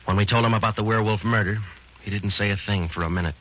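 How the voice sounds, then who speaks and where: An actor playing a calm, matter-of-fact police sergeant who narrates a 1940s radio drama in a flat, clipped delivery, on a dull old broadcast recording. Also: male voice, on the low side, quick pace, slight background noise, lightly acted.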